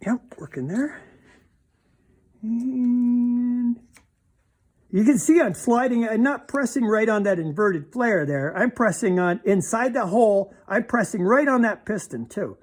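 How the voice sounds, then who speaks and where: A man's voice: high-pitched, lively intonation, a little slow. Casting: male DIY demonstrator, informal and plainspoken, talking through a hands-on mechanical job as he works. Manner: plainspoken, informal, thinking aloud while handling parts. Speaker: DIY car repair demonstrator. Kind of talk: casual hands-on repair demonstration.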